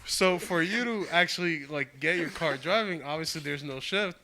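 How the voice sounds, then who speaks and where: A man's voice: a little high, moderate pace, creaky, pushed and bright. A man from Portugal, a podcast guest, telling a story casually and often correcting himself as he goes. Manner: rambling, self-correcting, laughs easily.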